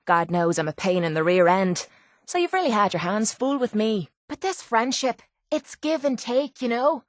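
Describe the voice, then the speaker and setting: An actress voicing a tough, guarded but loyal young Irish pit fighter in a video game, speaking bluntly and colloquially. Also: female voice, fairly high pitch, no echo, clean studio sound, natural voice. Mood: hurt, reproachful. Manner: blunt, rough-edged, colloquial. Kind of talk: video game companion dialogue.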